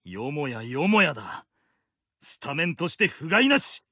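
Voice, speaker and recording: An actor playing a fervent, honourable young swordsman in an anime-style game, booming and declaiming with resolve. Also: sing-song, measured pace, bright, forceful tone, breathy edge, no echo, clean studio sound, full character voice. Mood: fervent, resolute. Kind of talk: anime-style mobile game character lines.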